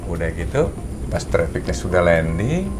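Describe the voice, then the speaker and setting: A man hosting a casual, animated chat, speaking colloquially and mixing in English aviation terms. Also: low-pitched, normal speed. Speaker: host, a pilot. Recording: music playing behind the talk. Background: background music.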